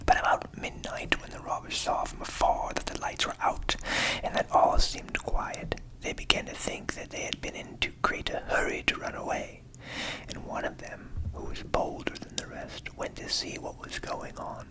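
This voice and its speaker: A man whispering a fairy tale softly and soothingly as an ASMR storyteller, with a breathy delivery and measured pauses. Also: rough recording.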